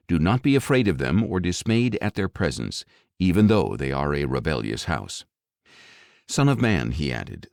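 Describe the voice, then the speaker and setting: A man narrating scripture for an audiobook in a solemn, measured, dramatic way. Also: deep voice, darkish tone, dry recording, clean studio sound.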